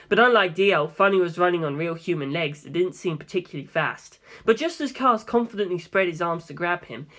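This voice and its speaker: A man narrates scripted fiction in the first person. His voice is rather high for a man. It has a bright, forceful tone suited to an audiobook.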